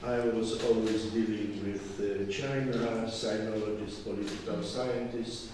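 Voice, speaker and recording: Older Polish professor in echoey hall. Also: male voice, on the low side, steady tone, measured pace. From Poland. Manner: teasing, quizzes the audience. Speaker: guest lecturer, professor. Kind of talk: interactive workshop lecture. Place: university lecture hall. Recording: echoey lecture hall from far off, noisy.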